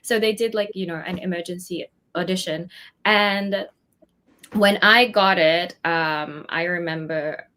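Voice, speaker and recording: A woman's voice: lowish voice, steady tone, unhurried, pushed and bright. A young woman from the Philippines, an actress chatting as an interview guest, talking at length with many fillers and frequent laughter. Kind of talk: casual interview chat.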